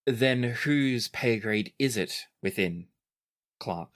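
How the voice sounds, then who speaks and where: A woman's voice: extremely low pitch, takes it slowly. A woman voicing an imposing male noble in a tabletop game, pointed and commanding. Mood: haughty, challenging.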